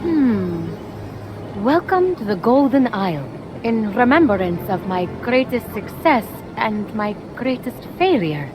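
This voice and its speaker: An actress playing an earnest, plucky young female hero in a fantasy video game, expressive and wry. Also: on the high side, slow, rough recording. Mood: subdued, reflective. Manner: expressive, wry, hesitant asides to herself. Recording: loud music behind voice.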